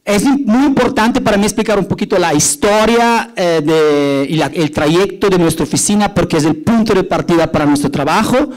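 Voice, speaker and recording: A man's voice: sits high, moderate pace, few pauses, poor audio. A middle-aged Italian man with an Italian accent, giving a hesitant, explanatory conference talk from an auditorium stage. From Italy. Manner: many fillers and restarts, explanatory. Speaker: conference speaker, urban designer.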